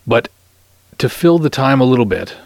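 A man's voice: mid-range voice, lively intonation, moderate pace, gravelly, dry recording. A middle-aged man hosting a podcast in a casual, playful, teasing manner. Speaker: podcast host and interviewer. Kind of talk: casual hosting and light interview.

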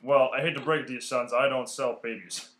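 A man playing a smooth-talking, scheming talent agent in an old-time radio comedy, with folksy salesman patter. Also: mid-range voice, steady tone, brisk, a bit bright, natural voice. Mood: smooth dealmaking.

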